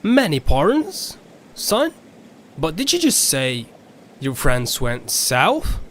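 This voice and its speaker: A man's voice: on the high side, wildly swooping pitch, slow pace, a bit bright, full character voice. A man voicing a polite, folksy creature who captains a ferryboat, with a Southern US accent and genteel manner, in an audio story. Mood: concerned. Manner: courteous, apologetic, genteel.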